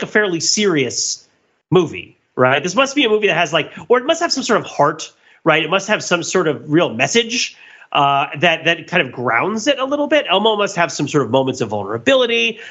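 A man's voice: sits high, very animated, brisk, bright, forceful tone, husky, muffled recording. A man in a casual, analytical podcast discussion, rambling as he thinks aloud in wordy, intellectual phrases and often repeating himself. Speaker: podcast host.

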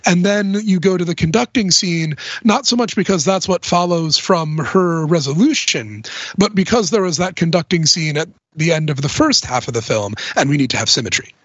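A man with a fairly high voice talks casually and analytically as a panelist on a film podcast, often hedging as he works through a point. The sound is dry and slightly dull. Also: lively intonation, normal speed, a bit bright, no echo. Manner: analytical, hedging with frequent "like" and "sort of". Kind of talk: casual discussion.

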